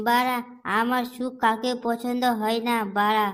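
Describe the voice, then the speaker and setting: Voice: mid-range voice, flat delivery, takes it slowly, fairly bright tone, clear, resonant tone. A performer voicing a sly, foul-mouthed drunken swindler in a comedy cartoon, crude and swaggering. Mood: boastful. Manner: crude, swaggering, full of swearing. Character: sly foul-mouthed drunkard conning neighbours for club money.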